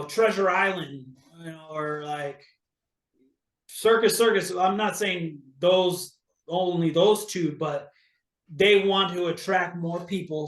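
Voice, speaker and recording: A man's voice: fairly high pitch, reverberant. A man from Hawaii, a real estate agent, talking casually as an interview guest, with many fillers and restarts.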